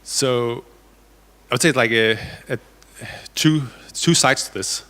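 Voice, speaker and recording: A man's voice: mid-range voice, a little slow, pushed and bright, clear, resonant tone. A man from Denmark speaking informally and a little hesitantly as a developer on a panel. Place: indoor conference hall. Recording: reverberant conference hall.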